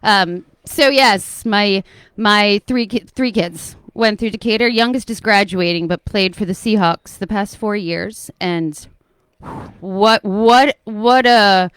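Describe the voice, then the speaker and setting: A woman in her fifties from Maryland with an American accent, chatting and reminiscing casually on the radio, quick to joke. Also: medium pitch, a bit bright, clear, resonant tone, dry recording. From Ocean City, Maryland. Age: 50s. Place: close-miked radio studio.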